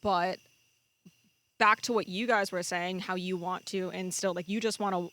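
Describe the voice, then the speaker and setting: Bright-voiced woman chatting on a podcast. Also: lowish voice, steady tone, normal speed, dry recording. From New Jersey, United States. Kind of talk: casual podcast conversation.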